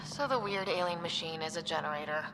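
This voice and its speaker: A woman's voice: fairly low pitch, fast talker, lightly acted. A woman playing a young, level-headed recon specialist in a video game, speaking in a dry, casual way. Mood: wry, puzzled. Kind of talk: naturalistic video game dialogue acting. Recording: game audio over loud ambient noise.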